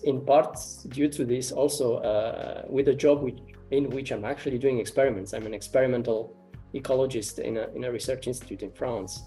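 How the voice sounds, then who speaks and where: A man from Romania with a warm, relaxed tone at a middle pitch. He answers interview questions slowly and hesitantly, full of ums and restarted phrases, and faint music plays underneath. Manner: hesitant, many fillers and repeated starts. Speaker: interviewee, artist and ecology researcher.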